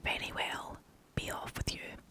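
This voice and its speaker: A menacing, ugly storybook troll , played by a whispering storyteller who demands and threatens from under his bridge. He speaks entirely in a slow, bright whisper. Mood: grudging.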